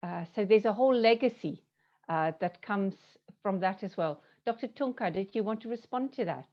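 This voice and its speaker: A woman's voice: medium pitch, moderate pace, dull audio. A woman chairing an academic panel over a video call, calling on speakers in a courteous, direct way. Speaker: session chair.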